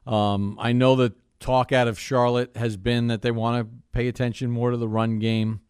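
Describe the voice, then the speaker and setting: A man's voice: mid-range voice, little pitch movement, moderate pace, a little mellow, clear, resonant tone, no echo, clean studio sound. A man hosting a sports broadcast interview, putting questions to his guest.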